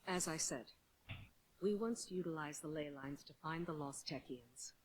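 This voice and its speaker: Low-voiced military officer. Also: female voice, measured pace, low-quality recording. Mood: businesslike. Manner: firm, businesslike. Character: brisk, dutiful female military officer, skeptical but loyal. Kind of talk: game mission briefing dialogue.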